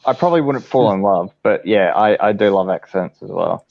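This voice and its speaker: A man chatting on a live stream in a soft, hesitant way, with many fillers. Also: on the low side, animated, fairly quick, gravelly, dry recording, muffled recording. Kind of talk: casual chat.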